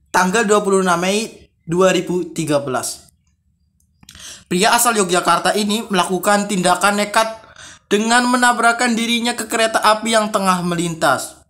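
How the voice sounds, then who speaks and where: A young-sounding, high-voiced man hosts an informal vlog. He reads written accounts aloud, then rephrases them and reacts in everyday conversational language. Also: a bit bright. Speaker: video creator, host. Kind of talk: casual vlog, reading stories aloud with commentary.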